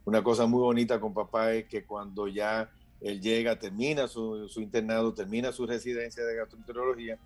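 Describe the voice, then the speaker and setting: Dominican man in his fifties, low voice, relaxed storyteller who acts out quotes; casual talk host. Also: fairly quick, few pauses. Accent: Dominican Spanish. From Dominican Republic. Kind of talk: casual chat.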